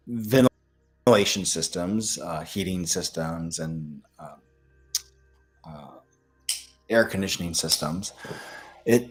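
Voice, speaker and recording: American man, low voice, halting slow delivery, doctor answering questions informally, faint music behind. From American Midwest. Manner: halting, pausing between phrases. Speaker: doctor hosting a health Q&A.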